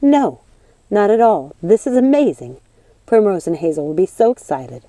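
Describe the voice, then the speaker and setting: Woman reading as a gentle, earnest young witch, mellow. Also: mid-range voice, sing-song, normal speed, dry recording. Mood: pleased.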